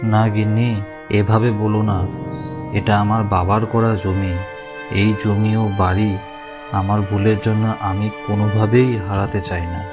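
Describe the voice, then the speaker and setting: Man doing humble village husband in a cartoon, lowish earnest voice, mild, music behind. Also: flat delivery, a little slow, lightly acted. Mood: earnest, worried. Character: poor, hopeful, earnest village farmer, a husband. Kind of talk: cartoon character dialogue.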